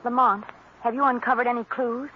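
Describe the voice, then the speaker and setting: A woman's voice: on the high side, fairly even intonation, brisk, over music, natural voice. Curious young lady sidekick played by an actress, polished talk, dull sounding old radio serial. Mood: inquisitive. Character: attentive, concerned young female companion of a sleuth. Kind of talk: 1940s radio drama acting.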